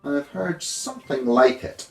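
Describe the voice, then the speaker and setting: Man reading as a curious little girl, polite, thoughtful, slightly high, unhurried, storybook, echoey room. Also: vocal fry, soft background music. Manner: polite, measured.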